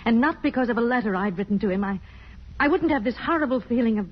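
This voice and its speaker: Husky, troubled woman, old radio. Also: a little high, lively intonation, fast. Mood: troubled, hesitant. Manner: hesitant, pleading. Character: troubled, earnest woman in romantic melodrama. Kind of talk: 1950s radio drama acting. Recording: muffled old radio broadcast.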